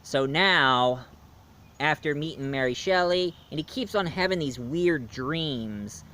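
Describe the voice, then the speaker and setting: Slow-talking man rambling through a review. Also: on the high side, bright, forceful tone. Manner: rambling, loose, with fillers and self-corrections. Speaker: movie reviewer. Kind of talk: casual spoken movie review.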